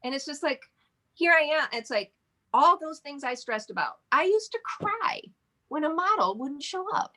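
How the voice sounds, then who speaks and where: A woman's voice: sits high, very animated, moderate pace, sharp tone. A woman in her forties, guest in a casual interview, talking in a chatty, rambling way with frequent laughs. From Michigan. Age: 40s. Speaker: interview guest, modeling agency owner.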